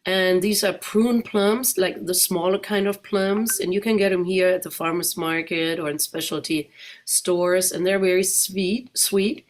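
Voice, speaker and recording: A woman's voice: lowish voice, steady tone, a little slow. Woman, German accent, middle-aged, guest speaker, chatty slide talk, quizzes audience. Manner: asks the audience questions, chatty.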